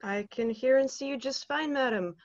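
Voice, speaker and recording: A man's voice: very high-pitched, normal speed, no room sound. Young man acting a polite operator, dull call sound. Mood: polite apologetic. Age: young adult. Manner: courteous, hesitant, formal. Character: polite, apologetic, unhurried telephone operator. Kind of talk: stage-style dramatic acting. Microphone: computer video call, wearing headphones.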